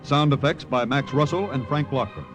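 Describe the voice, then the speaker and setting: A man's voice: mid-range voice, moderate pace, dull audio. A man announcing in a formal, declarative old-time radio style, reading scripted credits. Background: orchestral music.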